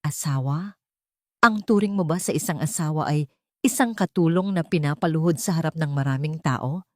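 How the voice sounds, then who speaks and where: Stern older general, played by a woman, audio drama, accusing and authoritative, low register, dry recording. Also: normal speed, darkish tone, no echo, natural voice. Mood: scornful, accusing. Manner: grave, commanding, accusing. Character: stern, scornful older male army general. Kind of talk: audio story character voice.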